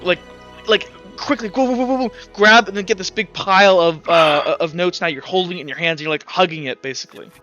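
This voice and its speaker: A man's voice: high voice, brisk, bright, forceful tone, highs cut off, soft background music. A man narrating as game master of a tabletop roleplaying game, animatedly acting out the action as he improvises.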